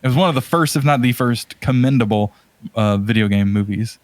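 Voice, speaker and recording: A man's voice: medium pitch, fairly quick, dry recording. Casual, rambling man on a close, dry mic. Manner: rambling, thinking aloud, enthusiastic. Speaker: podcast co-host. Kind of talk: casual chat about video game movies.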